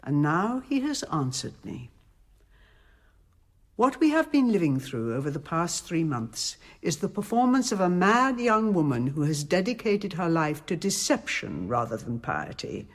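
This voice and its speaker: An actress playing a composed, shrewd abbess in a radio drama, speaking in a measured, formal and authoritative way. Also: female voice, lowish voice, big pitch swings. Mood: grave, commanding.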